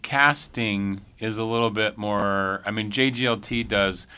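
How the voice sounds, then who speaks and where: A man's voice: on the low side, unhurried, sharp tone, clear, resonant tone. A man hosting a radio show in a casual, conversational interview style.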